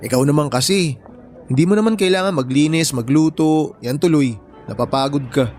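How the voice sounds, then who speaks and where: An actor playing an easygoing, helpful young bachelor in a radio drama, with casual, conversational delivery. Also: male voice, fairly high pitch, a little fast, darkish tone, close to an everyday voice. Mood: casual, kind. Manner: casual, teasing, reassuring. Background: music bed.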